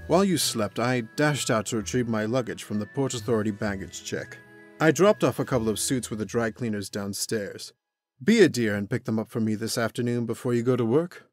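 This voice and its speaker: A man playing a vain, breezily presumptuous young prince in a comic dub, smooth and self-assured. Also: mid-range voice, fairly quick, soft background music, lightly acted. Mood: breezy, smug. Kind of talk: comic dub acting, reading a note aloud.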